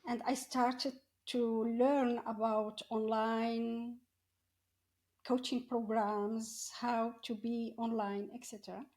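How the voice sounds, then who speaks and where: A middle-aged woman. She talks slowly and haltingly, feeling for her words as she shares a personal story as an interview guest. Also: a little high, little pitch movement, a little mellow. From Morocco. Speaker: podcast guest, coach.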